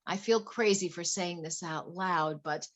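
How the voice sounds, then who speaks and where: A woman's voice: on the low side, a little slow, close to an everyday voice. A woman playing a wealthy, anxious wife in a play reading, earnest, polite and flustered. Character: wealthy, anxious wife confiding fears about her husband.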